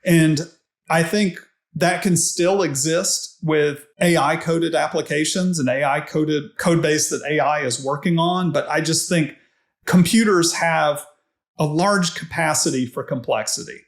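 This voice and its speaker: Male interview guest, slightly high pitch, strongly reverberant room, measured and reflective explanatory delivery. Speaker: podcast guest, technology executive. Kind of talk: conversational interview answers. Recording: echoey, reverberant room sound.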